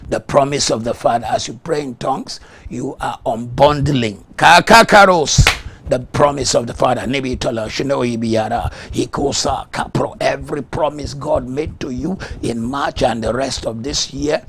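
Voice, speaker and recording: A middle-aged male pastor, a Yoruba native speaker, preaching a fervent televised morning sermon and breaking into rhythmic bursts of rapid tongues, recorded on a lapel mic in a TV studio. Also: medium pitch, a bit bright, slight background noise. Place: TV studio set.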